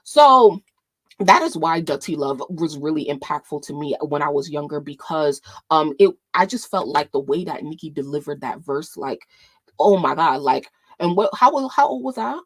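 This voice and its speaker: A woman's voice: low-pitched, expressive, normal speed, no room sound, clean studio sound. A woman in her late twenties from New York, with a Caribbean lilt that sounds Jamaican, vlogging in a rambling casual chat that keeps interrupting itself. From New York, USA. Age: late 20s. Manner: rambling, self-interrupting, laughs often.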